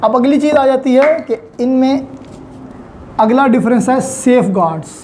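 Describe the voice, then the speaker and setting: A woman with a Pakistani accent giving an explanatory law lecture, deliberately and with repeated key points. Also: sits high. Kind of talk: explanatory lecture mixing in English legal terms. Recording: faint steady background noise.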